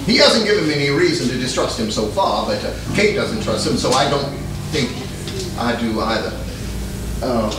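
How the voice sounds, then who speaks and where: A man's voice: mid-range voice, lively intonation, normal speed, distant mic, background noise, close to an everyday voice. Man playing earnest melodrama hero in echoey theater. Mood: mild, uncertain. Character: earnest, trusting, good-hearted Wild West show boss. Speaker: stage actor in melodrama. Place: theater stage, live audience. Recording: echoey theater from afar, music behind.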